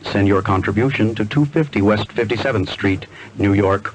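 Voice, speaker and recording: A man's voice: fairly low pitch, normal speed. An American man reading a scripted public service appeal on 1970s AM radio. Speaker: charity appeal announcer. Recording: noisy 1970s AM radio aircheck.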